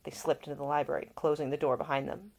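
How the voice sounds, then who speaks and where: A woman reading her own fiction aloud as scripted audiobook narration, with an expressive storytelling delivery. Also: low voice, fast talker, gravelly. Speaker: author reading own novel.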